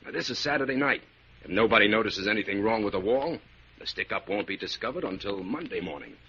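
Gruff boss crook in a 40s radio drama, very sharp forceful voice, dull scratchy old recording. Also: male voice, lowish voice, expressive, quick pace, heavily acted. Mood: brisk, scheming. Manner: gruff, commanding, slangy tough-guy talk. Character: bossy, hard-boiled male bank robber ringleader. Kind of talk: 1940s radio crime drama acting.

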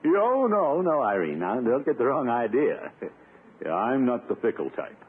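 An actor playing a blustering, jealous, portly middle-aged suitor in a 1950s radio sitcom, indignant and full of exclamations. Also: male voice, medium pitch, sing-song, moderate pace, husky. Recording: muffled, noisy 1950s radio recording.

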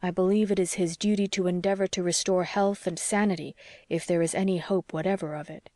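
A woman voicing an earnest, stubborn young male doctor who reasons in a measured, formal way, as in an audiobook. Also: fairly low pitch, steady tone, mellow, no echo, close to an everyday voice. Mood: firm, reasoning. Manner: measured, formal, slightly stiff. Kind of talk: audiobook character dialogue.

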